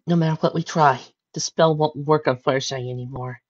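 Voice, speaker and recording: A woman's voice: low-pitched, steady tone, fairly quick, darkish tone, voice pushed up. A woman voicing a studious, conscientious female pony with an anxious, apologetic manner in a story reading. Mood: anxious, regretful. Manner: earnest, anxious. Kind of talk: fan fiction story reading. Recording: dull lo-fi recording.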